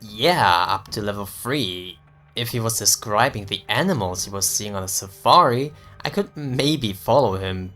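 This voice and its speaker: A man playing a young American slacker friend in an audio story, sarcastic, slangy and excitable. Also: fairly low pitch, measured pace, soft background music. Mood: dismissive, amused. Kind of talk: dramatized audio story dialogue.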